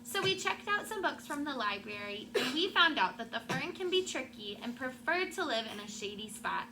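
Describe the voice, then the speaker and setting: Higher-voiced woman teacher reading aloud, noisy recording. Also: normal speed. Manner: expressive storytelling with asides and questions to children. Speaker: elementary school teacher. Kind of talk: read-aloud of a picture book to a class. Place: classroom with children. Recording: clearly noisy classroom recording.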